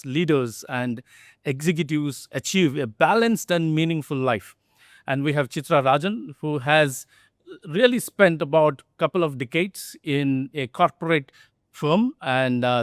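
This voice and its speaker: Earnest male podcast host, higher voice. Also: no echo, clean studio sound. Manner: earnest, with frequent "uh" fillers and "really". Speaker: podcast host, company CEO. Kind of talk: interview hosting, introducing guests.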